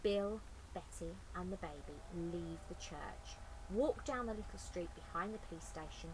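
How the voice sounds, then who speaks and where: A woman's voice: on the low side, moderate pace, background noise. A woman reading a picture book aloud expressively, adding chatty asides to young listeners. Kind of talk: expressive children's story reading.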